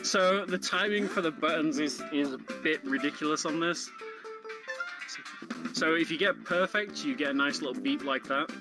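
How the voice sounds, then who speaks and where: A man's voice: on the high side, moderate pace, low-quality recording. A man giving casual, explanatory live commentary on a video game, speaking informally like a speedrun commentator. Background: video game music.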